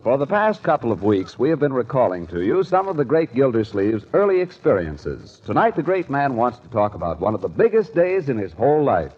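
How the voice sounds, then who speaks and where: A man's voice: mid-range voice, sing-song, a little fast, hardly any pauses. A man announcing a radio show in a 1940s scripted introduction style. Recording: dull 1940s radio recording with music.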